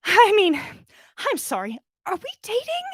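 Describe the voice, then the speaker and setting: A woman's voice: extremely high pitch, wildly swooping pitch, a little slow, no room sound, studio quality, voice pushed up, full character voice. A woman voicing a prim, haughty middle-aged government witch with a formal, flustered manner, reading a story. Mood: anxious, pleading.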